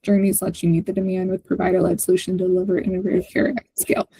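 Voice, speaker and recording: A woman's voice: fairly low pitch, level tone, racing, vocal fry, mellow, husky, dry recording. A woman hosting an online call, giving an informal walkthrough in a conversational way, often checking in with the listener as she explains. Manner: conversational, often ends points with a checking "right?". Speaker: host presenting on a community call. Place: online video call with screen sharing.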